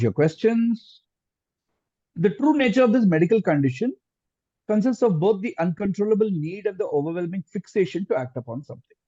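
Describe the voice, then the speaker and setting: Male quiz host, mellow husky voice, deliberate question reading, close dry sound with dull lo-fi call audio. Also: on the high side, no room sound. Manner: deliberate, repeats answers and phrases. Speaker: quizmaster.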